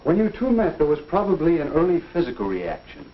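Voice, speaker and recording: An actor playing a genial, earnest minister counseling young people in an avuncular, folksy 1950s instructional film style. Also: male voice, a little high, wildly swooping pitch. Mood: explaining. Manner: avuncular, measured, folksy lecturing. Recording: dull 1950s film soundtrack.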